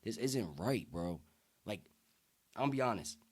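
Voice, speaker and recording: A deep-voiced young man from the United States speaking earnestly and emphatically in casual, slang-heavy conversation as a podcast guest. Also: animated, normal speed, husky.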